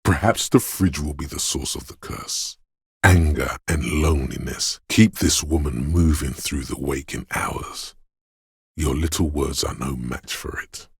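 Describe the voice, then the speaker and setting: A paranoid voice of primal fear inside the head, extremely low and heavily rasping. It narrates a dark video game in the second person, slowly and ominously, as if every detail were a threat. The sound is close and dry. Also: male voice, no room sound, full character voice. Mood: cold contempt. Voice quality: very deep, gravelly, husky. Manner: ominous, slow, deliberate delivery. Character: menacing, paranoid inner voice of primal fear and aggression.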